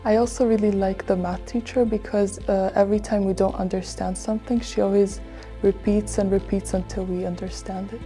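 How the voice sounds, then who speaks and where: A woman's voice: medium pitch, fairly even intonation, fairly quick, warm tone, faint music behind. A teenage girl, born in Hungary, giving an earnest, chatty self-introduction as a student on a lapel mic in a studio. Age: teens. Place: studio set with flags.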